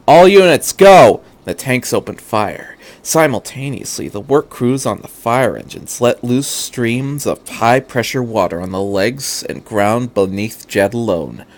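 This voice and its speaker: A man reading fan fiction aloud as a narrator, with an earnest, dramatic delivery. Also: on the low side.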